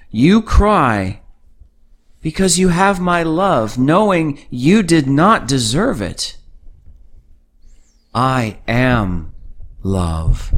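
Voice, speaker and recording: A man playing God as a gentle, loving deity in a devotional audio drama, speaking with calm, soothing assurance. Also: medium pitch. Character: gentle, loving male God, patient and tender.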